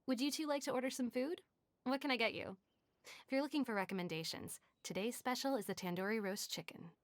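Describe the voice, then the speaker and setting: An actress voicing a polite, eager tavern hostess in a video game, with a courteous, friendly serving manner. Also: female voice, fairly high pitch, fast talker, no room sound, natural voice. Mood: helpful, polite. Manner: courteous customer-service delivery.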